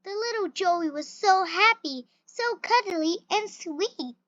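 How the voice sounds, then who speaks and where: A reader with an expressive, carefully phrased delivery, reading a children's picture book aloud. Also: very high voice, slow, no echo, muffled recording. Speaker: story reader.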